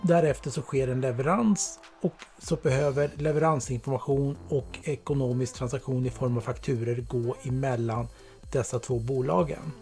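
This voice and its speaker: A man narrating a scripted software tutorial as a product presenter, in a plain, explanatory way. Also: mid-range voice. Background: background music.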